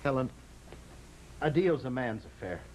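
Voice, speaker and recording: An actor playing a troubled, guilt-ridden gambling husband in an old radio drama, earnest and halting in a melodramatic style. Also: male voice, medium pitch, lively intonation, a little mellow, natural voice. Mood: evasive, subdued. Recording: slightly noisy old radio recording.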